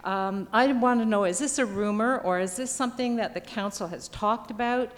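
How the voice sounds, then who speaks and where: A woman's voice: medium pitch, normal speed. A woman in the audience asking a question at a town hall, chatty and polite, with a big projecting voice. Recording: long hall reverb, faint noise.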